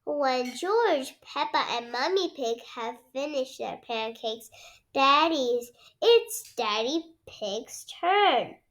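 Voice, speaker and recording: A child reading a storybook aloud as host, halting and repeating words along the way. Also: sits high, animated, a bit bright. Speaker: read-aloud channel host.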